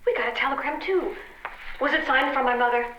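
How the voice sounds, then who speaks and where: Woman acting as sharp-tongued detective's wife, high voice, roomy noisy old radio play sound. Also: brisk, recorded from a distance. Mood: anxious, puzzled. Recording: roomy, lo-fi, noisy vintage-style radio recording.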